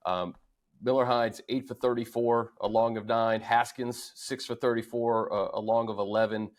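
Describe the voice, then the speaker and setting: An American man with a medium-pitched voice hosts a sports talk show. His delivery is casual and conversational as he recaps numbers and offers analysis. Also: fairly even intonation, normal speed.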